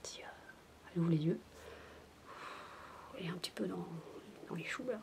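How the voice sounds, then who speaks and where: Casting: woman, ASMR babysitter, breathy whisper and soft speech, chatty bedtime storytelling, faintly noisy homemade recording. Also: on the low side, normal speed. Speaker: ASMR roleplayer playing a babysitter. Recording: noisy homemade recording without binaural mic.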